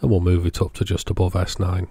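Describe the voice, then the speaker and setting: Gravelly low-voiced man narrating repairs. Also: monotone, fast talker, dark tone, dry recording. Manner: matter-of-fact, step-by-step. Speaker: host of an electronics repair channel. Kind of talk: hands-on repair tutorial narration.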